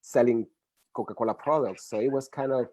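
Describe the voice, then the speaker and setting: A middle-aged man with a Colombian Spanish accent speaks English earnestly and at an easy conversational pitch. His voice is dark and mellow, recorded dry and close with little room sound. He often restarts his sentences as he explains. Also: steady tone, brisk, dry recording. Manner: earnest, many restarts and fillers. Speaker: guest expert. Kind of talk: conversational interview.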